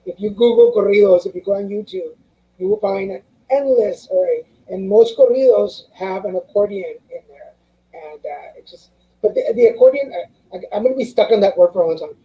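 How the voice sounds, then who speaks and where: A woman with a very warm, dark, mellow tone hosts a casual interview show. She asks her guest curious questions in an easy, conversational way. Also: mid-range voice, a little fast, low-quality recording. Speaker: show host.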